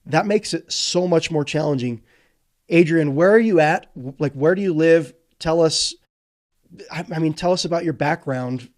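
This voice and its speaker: A man's voice: a little high, a little mellow. A man hosting a casual interview, asking encouraging questions with enthusiasm. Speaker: host.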